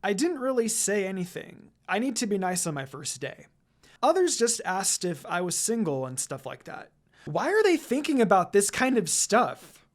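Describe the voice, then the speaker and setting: Female fan fiction reader, medium pitch, highly varied delivery, casual and dramatized storytelling. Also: big pitch swings, normal speed. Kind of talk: expressive first-person story narration.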